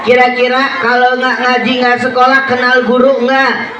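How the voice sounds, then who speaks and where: A man telling a religious story to schoolchildren in a playful, animated way, calling out questions to the children. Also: extremely high pitch, normal speed, dull audio. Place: primary school hall. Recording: echoey, distant, loud crowd and noise. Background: crowd of children.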